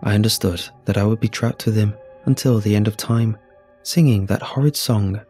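A man narrating a scripted first-person horror story in a measured, solemn, husky voice. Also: on the low side, dark tone. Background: music.

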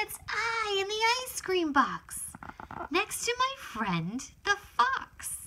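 A woman reads a children's storybook aloud at a very slow, deliberate pace. Her voice is high and bright, and she performs the text expressively for small children, with exclamations and a sing-song delivery. There is faint noise behind her. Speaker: author reading own picture book.

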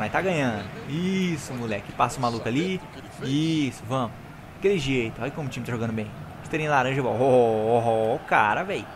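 A young Brazilian man, hoarse from recording, giving excited, slangy commentary over his own football video game match and talking straight to his viewers. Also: medium pitch, noisy background. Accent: Brazilian Portuguese. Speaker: gaming YouTuber. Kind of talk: live gameplay commentary.

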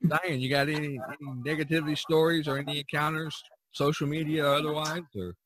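A man hosting a casual chat, telling anecdotes in a rambling, halting way. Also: fairly high pitch, little pitch movement, fairly bright tone, rough recording. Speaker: podcast host.

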